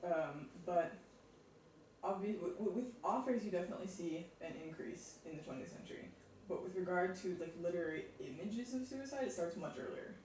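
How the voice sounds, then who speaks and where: A man's voice: sits high, lively intonation, brisk, a little mellow, slight background noise. A man discussing literature at a seminar, thinking aloud with many restarts and false starts. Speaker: literature researcher. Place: large echoey room. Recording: roomy, from far off.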